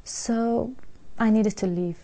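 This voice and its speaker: Young Serbian-accented woman, gravelly tone. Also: a little high, steady tone, dark tone. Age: young adult. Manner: halting, searching for words, earnest. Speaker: interview guest. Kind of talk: personal testimony.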